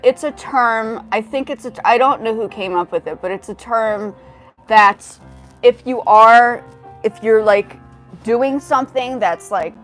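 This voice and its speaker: A woman in her forties hosting a casual livestream, talking to chat in a rambling way with many restarts. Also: on the high side. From grew up in South Africa, the United States and Japan. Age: 40s. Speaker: livestream host.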